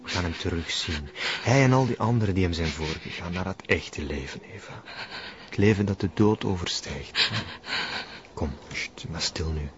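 A man's voice: sits low, moderate pace, very noisy, close to an everyday voice. An actor playing a devout male cult follower in a television drama, speaking in a consoling, preachy way. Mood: soothing, devout. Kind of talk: television crime drama acting. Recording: muffled lo-fi sound.

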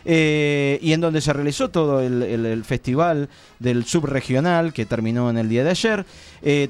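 An Argentine man hosting an informal live radio interview, talking in a halting, conversational way and repeating himself. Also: mid-range voice, darkish tone. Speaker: radio host interviewing theatre guests.

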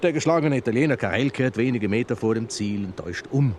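A man's voice: mid-range voice, animated, quick pace, slight background noise, lightly acted. An actor playing a proud male mountaineer and filmmaker, narrating in a theatrical radio drama style. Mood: dramatic. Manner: theatrical, storytelling. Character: proud male mountaineer-filmmaker presenting his own film.

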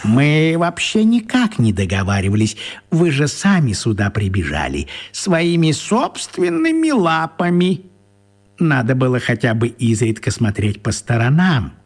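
An actor playing a whimsical, teasing little old wizard man in a radio play, husky and expressive. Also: medium pitch, unhurried, heavily acted. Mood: teasing, reassuring.

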